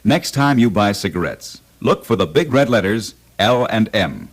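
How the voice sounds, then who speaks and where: Deep American announcer with animated delivery. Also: male voice, normal speed. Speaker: commercial announcer. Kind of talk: scripted 1950s radio advertisement.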